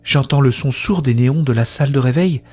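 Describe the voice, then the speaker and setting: Husky, earnest sci-fi narrator played by a man. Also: mid-range voice, a little fast, a little mellow, faint music behind, lightly acted. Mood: eager, determined. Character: wonderstruck woman newly linked to a shared consciousness. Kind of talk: first-person science-fiction audio drama narration.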